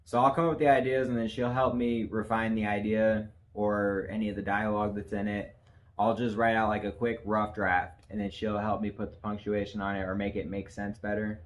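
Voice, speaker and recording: Profane, animated man in casual podcast chat. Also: lowish voice, fairly quick, slight background noise. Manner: profane, animated, laughs often. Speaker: podcast co-host. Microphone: camera facing the hosts.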